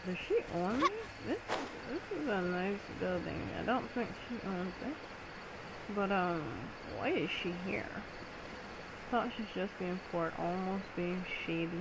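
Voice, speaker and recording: A woman's voice: on the low side, animated, a little slow. A woman giving casual live reactions as she hosts a gaming video. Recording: clear background noise over game audio.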